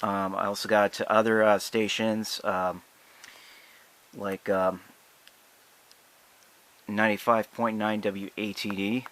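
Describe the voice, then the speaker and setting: An American man reviews a product in an unscripted, casual way. His voice sits fairly low but has a clearly bright, forward edge. He speaks haltingly, pausing and stretching things out as he demonstrates. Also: level tone. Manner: halting, hesitant delivery with drawn-out pauses.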